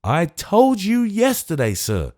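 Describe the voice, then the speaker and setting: A man's voice: high voice, wildly swooping pitch, slow pace, darkish tone, pitched above the performer's own voice, full character voice. A man voicing a testy, fussy, self-important Lord Mayor. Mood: testy, fretful. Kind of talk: audiobook character voice.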